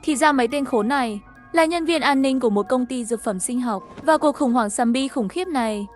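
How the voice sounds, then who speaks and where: A woman reading a scripted first-person story as its narrator, with music behind her. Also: high-pitched.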